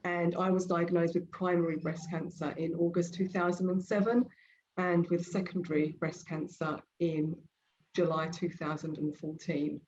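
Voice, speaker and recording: A woman's voice: on the low side, flat delivery, normal speed, a little mellow. A woman in her fifties from England asking questions in an earnest, slightly hesitant way. The audio is dull, with faint background noise and clicks. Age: 50s. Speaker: round table guest. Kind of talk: casual discussion.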